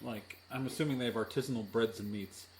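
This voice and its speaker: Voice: mid-range voice, fast, darkish tone, slight background noise, rough recording. A game master running a tabletop game in casual, teasing banter and narration.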